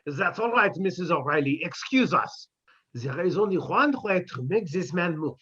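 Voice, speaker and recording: A man's voice: sits high, animated, moderate pace, bright, forceful tone, slightly rough, full character voice. A man playing a sardonic, scheming older professor who delivers droll wisecracks in a 1950s-style radio comedy. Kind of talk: 1950s radio sitcom acting. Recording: dull lo-fi sound.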